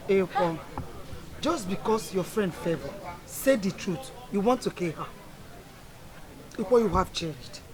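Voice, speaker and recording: A woman's voice: mid-range voice, lively intonation, a little slow, pauses often, crowd noise, lightly acted, low-quality recording. A middle-aged woman with a Nigerian accent playing a distraught, reproachful mother in a film drama. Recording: music behind the voice.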